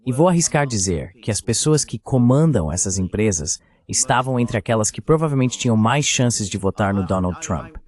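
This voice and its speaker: A man speaking in a measured, explanatory way, as in a dubbed political discussion. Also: lowish voice, expressive, a little mellow. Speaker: AI voice reading a translated political commentator.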